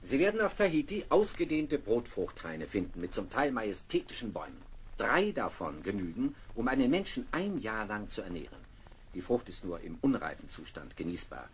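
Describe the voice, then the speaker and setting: Gentleman botanist, very lively intonation, sociable and expansive, faint noise behind. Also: fairly low pitch, moderate pace. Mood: enthusiastic, expository. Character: sociable, enthusiastic gentleman botanist aboard ship.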